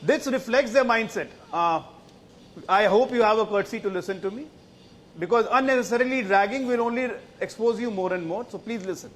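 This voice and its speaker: A man's voice: high-pitched, a little fast, slight background noise. A man with an Indian accent arguing politics as a panellist on a TV news debate, in an indignant, emphatic and scornful way.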